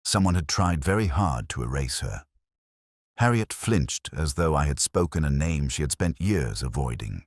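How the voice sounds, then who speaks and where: A man narrating a literary detective story in the first person, in a solemn, measured and brooding manner. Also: rumbling low, lively intonation, dry recording, studio quality.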